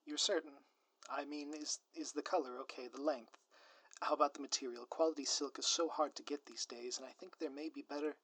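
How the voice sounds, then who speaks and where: A close, very dry recording of a man playing a theatrical male supervillain in an audio roleplay. His voice sits a little high for a man. He talks straight to the listener in florid, formal phrases, as a schemer who is secretly a doting, lovestruck spouse. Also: steady tone, fairly quick, fairly bright tone, husky, no echo, rough recording. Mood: tender, hesitant. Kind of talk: scripted ASMR roleplay, direct address to listener.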